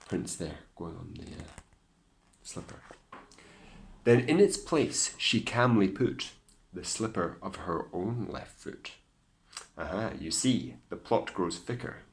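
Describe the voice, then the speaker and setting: A Scottish man reading aloud as a teacher to children, in an expressive, theatrical storytelling style with hushed asides. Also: deep voice.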